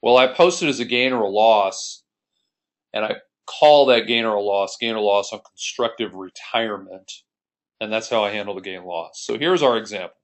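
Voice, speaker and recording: A man's voice: fairly low pitch, normal speed. An American man giving an explanatory screencast lesson as an accounting instructor, explaining methodically and step by step.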